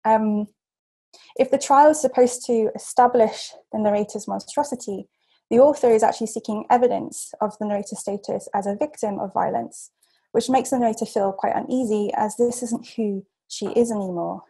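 A young woman presenting an academic paper over a video call, measured and careful, with frequent ums and self-corrections. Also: on the high side, dark tone, breathy edge, rough recording. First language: English. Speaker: seminar speaker.

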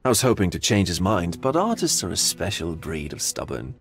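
An actor playing a cultured, philosophical gallery owner in a video game, pompous and languid, with dramatic pauses. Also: male voice, deep voice, big pitch swings, vocal fry, heavily acted. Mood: musing.

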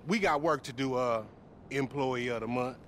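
A man's voice: medium pitch, normal speed, fairly bright tone, a little hiss or hum, natural voice. A man playing a boastful young hustler in a video game, brash and slangy, always trash-talking. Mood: teasing, irritated. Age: young adult. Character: cocky, loudmouthed young street hustler, boastful and teasing. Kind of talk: video game cutscene acting.

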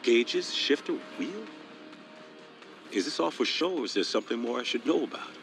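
An American actor playing a cocky, menacing middle-aged police sergeant in a video game, with a taunting, theatrical drawl. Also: male voice, medium pitch, animated, moderate pace, gravelly, fairly bright tone, faint music behind. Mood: mocking. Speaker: police officer. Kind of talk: video game cutscene acting.

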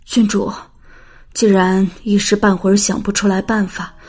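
A woman acts out an elderly nursemaid in an audiobook drama. She is gentle and soothing, careful and deferential toward her young mistress. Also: mid-range voice, level tone, moderate pace, husky, lightly acted. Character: kindly old nursemaid, soothing, cautious, deferential.

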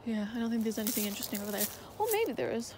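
A young woman with a fairly high voice wanders through a dark marsh at night, filming on her phone. She rambles and hesitates, filling her speech with little fillers. The phone recording carries plenty of outdoor noise. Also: sing-song, racing. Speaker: vlogger. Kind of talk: handheld night walk vlog. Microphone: phone held in hand.

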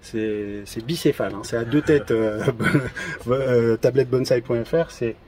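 A man in his thirties presenting trophies outdoors in a garden, in a relaxed, hesitant casual chat with many fillers. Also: mid-range voice, measured pace, background noise. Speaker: event organizer presenting trophies.

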